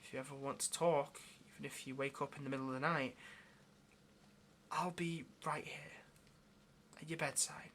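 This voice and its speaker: A man's voice: mid-range voice, steady tone, moderate pace, fairly bright tone, slight background noise, natural voice. A man playing a lonely, clingy yandere demon in an intimate audio roleplay, speaking tenderly and hesitantly straight to the listener with obsessive devotion. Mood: soft, tender.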